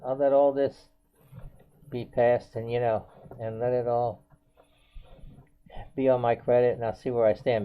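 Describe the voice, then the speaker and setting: An American man in his seventies talking casually and unscripted to viewers, rambling and circling back with frequent filler phrases. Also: medium pitch. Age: 70s. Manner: rambling, repetitive, often says "you know". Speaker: video blogger. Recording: dull, band-limited home video audio.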